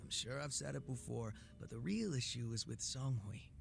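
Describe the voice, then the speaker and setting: An actor playing a laid-back, sly gangster boss with a soft heart in a video game, speaking in a casual, teasing drawl. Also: male voice, medium pitch, expressive, moderate pace, vocal fry, soft background music. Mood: casual, wry. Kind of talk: video game cutscene acting.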